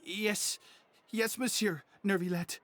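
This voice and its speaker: A man's voice: sits high, fairly even intonation, slow, fairly bright tone. A man playing a guilty, hesitant underling in a video game, stammering nervously.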